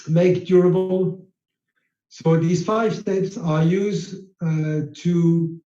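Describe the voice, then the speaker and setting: French-native male lecturer, mellow tone, halting delivery with fillers, echoey room. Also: fairly high pitch, highs cut off. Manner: slow, halting, many uh fillers. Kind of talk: slide-based lecture.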